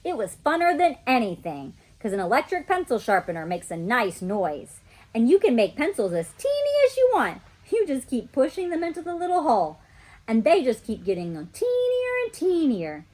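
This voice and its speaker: A woman reading a children's storybook as an excitable, mischievous little girl who chats emphatically about her own adventures. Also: sits high, moderate pace, pitched above the performer's own voice, full character voice. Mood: excited. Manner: childlike, emphatic, chatty storytelling with kid grammar. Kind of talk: children's storybook read-aloud.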